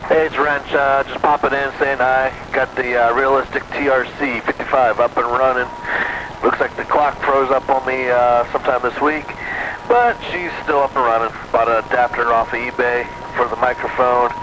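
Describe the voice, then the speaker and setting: A man with a mid-pitched voice talks casually over CB radio as a hobbyist. Clear static-like noise runs behind his transmission. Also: steady tone, moderate pace.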